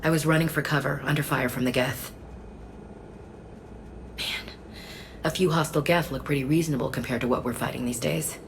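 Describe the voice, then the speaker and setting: A woman's voice: steady tone, brisk, pauses often, slight background noise, low-quality recording. A woman acting a tough, plainspoken soldier in a video game, frank and weary. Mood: rueful. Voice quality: husky low, gravelly.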